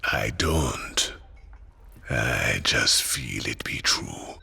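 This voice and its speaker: A man voicing a mysterious seer who speaks prophecy in a slow hushed whisper. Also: a bass voice, expressive, creaky, background noise, full character voice. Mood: ominous. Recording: long reverb, hall-like.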